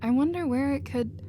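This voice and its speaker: A woman plays a scatterbrained female alien researcher in a sci-fi audio roleplay. The voice is high, gentle and playful, with informal, friendly phrasing. A faint noise sits in the recording. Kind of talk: scripted ASMR audio roleplay.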